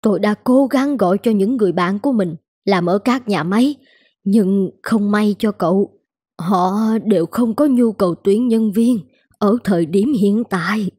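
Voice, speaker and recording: Husky, kindly noodle-shop woman, played by an actress. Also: medium pitch, moderate pace, dark tone, no room sound, studio quality, close to an everyday voice. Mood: helpful. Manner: plain-spoken, reassuring, insistent. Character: kindly, brisk, generous older woman running a noodle shop. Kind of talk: animated moral-tale voice acting. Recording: music under the voice.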